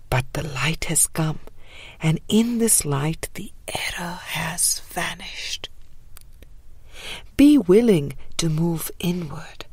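A woman reading a spiritual audiobook in a breathy voice that trails into whispery phrase endings, with a measured, gentle, meditative delivery. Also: fairly low pitch, no echo. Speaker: audiobook reader. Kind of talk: scripted spiritual audiobook narration.

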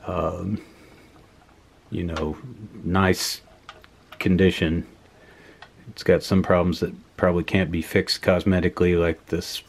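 A man giving a casual, unscripted hands-on demonstration in a matter-of-fact, measured way at an indoor workbench. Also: low-pitched, a little hiss or hum. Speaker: host of an electronics teardown video.

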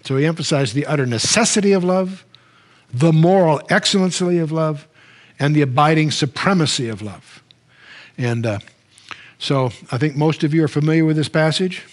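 A man's voice: on the high side, normal speed. Older man lecturing at a lectern. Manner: conversational, with frequent restarts and wry asides. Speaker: Bible teacher. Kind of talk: unscripted Bible lecture. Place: studio stage, standing at a lectern.

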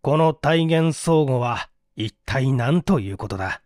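A man's voice: mid-range voice, lively intonation, unhurried, a little mellow, dry recording, studio quality. A man reading a mystery story as an audiobook narrator, in a formal, theatrical style.

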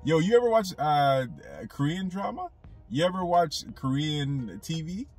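A man's voice: a little high, lively intonation, measured pace, far from the mic, soft background music. A young man talking casually to camera from inside a car, rambling with lots of fillers. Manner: loose, rambling, with many fillers. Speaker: YouTube commentator. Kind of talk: casual commentary vlog.

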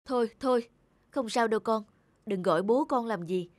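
A woman voicing a weary, sad young woman in a wheelchair in an animated cartoon. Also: a little high, moderate pace, dry recording, clean studio sound, natural voice. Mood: tender. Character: young woman in a wheelchair, bitter then tender.

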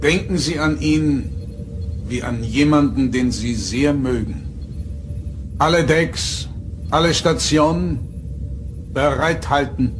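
An actor playing an earnest starship captain in an audio drama, speaking formally and with authority. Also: male voice, on the high side, takes it slowly, pauses often. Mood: solemn command. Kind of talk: German audio drama acting. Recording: studio drama with music underneath.

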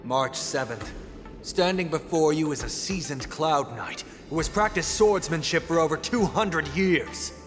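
Proud indignant swordsman, video game, pompous, higher male pitch, long reverb. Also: a little slow, fairly bright tone. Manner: pompous, formal. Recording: long reverb, music behind. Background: music.

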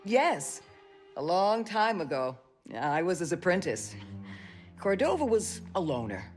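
A woman voicing a composed, wise middle-aged former Jedi mentor in a video game, measured and solemn. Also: fairly low pitch, big pitch swings, faint music behind. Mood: intent. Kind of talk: video game cutscene acting.

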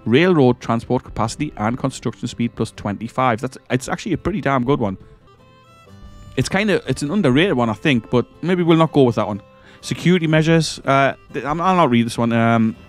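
Man, croaky with a cold, gaming commentator, casual and stumbling, faint background music. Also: medium pitch, animated, a little fast. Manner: rambling, hesitant, self-correcting. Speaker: gaming channel host. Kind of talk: casual let's-play commentary.

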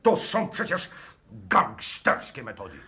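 An actor playing an indignant, domineering, hot-headed professor in a 1960s radio drama, emphatic and scornful. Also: male voice, lowish voice, huge pitch swings, takes it slowly, pushed and bright, full character voice. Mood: outraged.

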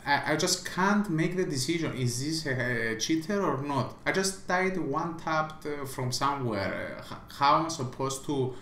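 A man's voice: on the high side, lively intonation, normal speed, few pauses, a bit bright, reverberant, a little hiss or hum. A man who does not speak English natively, giving an emphatic opinion rant as a small gaming vlogger, repeating himself and stumbling through restarts.

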